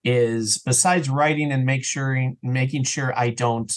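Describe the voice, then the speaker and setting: A man chatting casually as an interview guest, talking in an earnest, animated way about his creative work. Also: medium pitch, a little slow. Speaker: interview guest, audio drama creator and podcaster.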